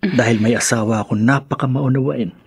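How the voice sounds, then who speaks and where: A man playing a remorseful, loving husband and father in a Filipino radio drama, speaking earnestly and tenderly with a husky voice. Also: medium pitch, fairly even intonation, brisk, a little hiss or hum, close to an everyday voice. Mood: remorseful, grateful.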